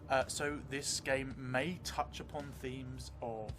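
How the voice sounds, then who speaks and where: A middle-aged man narrating a solo tabletop role-playing game in a measured, reflective, hesitant way. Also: medium pitch, fairly even intonation, background noise. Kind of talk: improvised first-person tabletop game narration. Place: small indoor room, seated at a desk. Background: background music.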